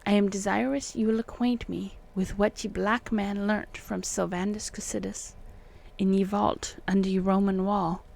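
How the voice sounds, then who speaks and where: Woman reading as a solemn old colonial occultist, gravelly. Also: medium pitch, level tone, a little mellow, lightly acted. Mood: uneasy, insistent. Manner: archaic, halting, solemn phrasing. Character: uneasy, secretive old colonial occultist man writing letters. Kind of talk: dramatic reading of old letters.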